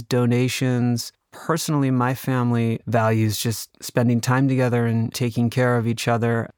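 A man's voice: medium pitch, smooth, no room sound, clean studio sound. A man who created the show, reading a casual, personal sponsor message in a sincere, conversational way. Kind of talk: casual, personal podcast ad read.

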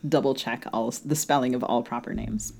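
A woman novelist and podcast co-host being interviewed, talking in a casual, halting, self-deprecating way. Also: a bass voice, steady tone, vocal fry, darkish tone.

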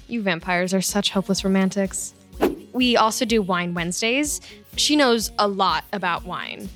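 A woman's voice: mid-range voice, unhurried, a bit bright, natural voice. Woman acting eager young best friend, chatty, awkward, nervously upbeat, audio drama, music behind. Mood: chirpy, confiding. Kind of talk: audio drama acting, confiding narration and dialogue.

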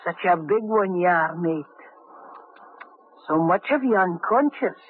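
An actor playing a small, sly, cowardly thief in an old radio drama, with a high, wheedling voice. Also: male voice, expressive, a little slow, slight background noise. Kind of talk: 1950s radio drama acting. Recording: tinny old radio broadcast.

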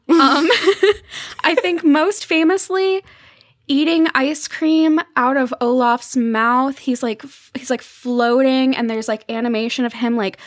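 Higher-pitched woman, dry close recording. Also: no room sound. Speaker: podcast guest, journalist. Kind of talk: casual chat.

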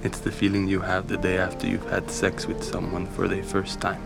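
A reflective, wistful man confiding in a monologue. His voice is very low and creaky, measured and halting, over a music bed in an audio drama. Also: monotone. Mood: wistful, content.

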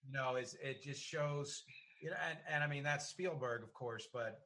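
Bright, forceful man talking analytically. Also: medium pitch, moderate pace. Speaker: podcast host. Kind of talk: conversational interview about music and film.

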